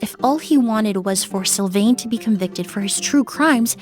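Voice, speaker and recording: A woman voicing a calm, thoughtful perfumer. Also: on the high side, normal speed, faint music behind, natural voice. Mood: puzzled. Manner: calm, reflective. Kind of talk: video game voice acting.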